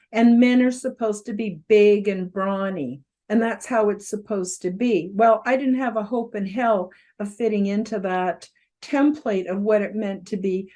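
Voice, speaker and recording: Older lady around 70 talking to camera, loud voice that carries, lots of ums, mid pitch. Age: about 70. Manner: conversational with frequent fillers, emphatic rises. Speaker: host giving a self-help presentation. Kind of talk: casual spoken presentation to camera.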